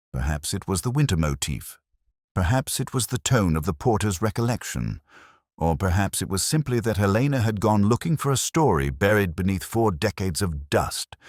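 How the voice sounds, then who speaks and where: A man reading a scripted first-person detective story in a measured, reflective, literary manner. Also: deep voice, dry recording, clean studio sound.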